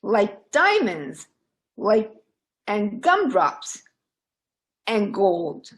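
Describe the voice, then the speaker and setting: Very slow, expressive woman storyteller. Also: mid-range voice. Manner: dramatic, deliberate. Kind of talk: expressive read-aloud for children.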